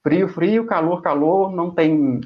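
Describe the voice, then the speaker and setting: A young Brazilian man speaking in a relaxed, rambling way as a guest in an interview, often restarting his phrases. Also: on the high side, unhurried, highs cut off. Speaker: exchange student guest. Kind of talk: conversational interview.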